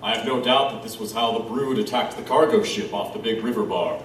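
Low, echoey, grimly knowing monster expert played by a man. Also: a little fast, a little hiss or hum, natural voice. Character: grave, knowing male counselor on monsters, wryly grim. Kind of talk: audio drama acting. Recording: echoey, distant, faint background ambience.

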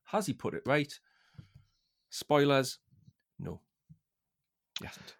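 A man's voice: medium pitch, sing-song, slow pace, gravelly, a bit bright. A man chatting casually as a fan on a podcast, rambling a little hesitantly.

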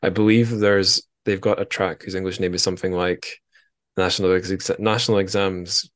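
A man's voice: low voice, little pitch movement, moderate pace, fairly bright tone, no echo. A man hosting a podcast in casual conversation, informal and a little self-deprecating. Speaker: podcast host.

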